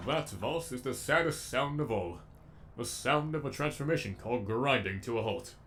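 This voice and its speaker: A man's voice: mid-range voice, animated, moderate pace, fairly bright tone, slight background noise. A man voicing a sadistic, erudite robot villain with an eloquent, menacingly polite delivery, as in a comic dub. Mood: mocking, menacing.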